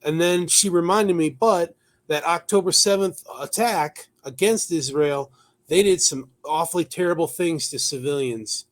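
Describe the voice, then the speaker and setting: Higher-voiced man hosting a news talk show. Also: unhurried. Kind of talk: conversational news commentary, partly read from notes.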